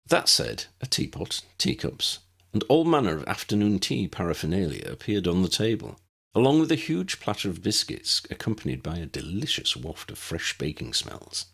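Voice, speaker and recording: A man with a gravelly, husky voice reading scripted story narration. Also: deep voice, sing-song, moderate pace, fairly bright tone. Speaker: narrator, audiobook reader.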